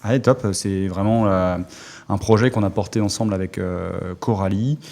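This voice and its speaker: A middle-aged man from Alsace, a former army officer, talking as an interview guest in a halting way with many fillers. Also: low voice, fairly even intonation, gravelly, a little mellow. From Alsace, France. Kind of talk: casual interview talk.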